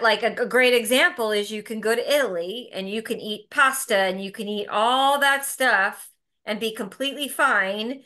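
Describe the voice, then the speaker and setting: A woman's voice: medium pitch, sharp tone. A woman speaking as an interview guest in casual conversation, emphatic, often restarting and repeating words.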